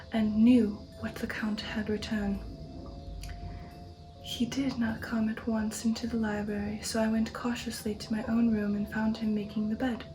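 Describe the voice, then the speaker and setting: Softly whispering ASMR woman, breathy and hushed. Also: a little high, poor audio. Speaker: ASMR channel host reading a novel aloud. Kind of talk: whispered ASMR audiobook reading.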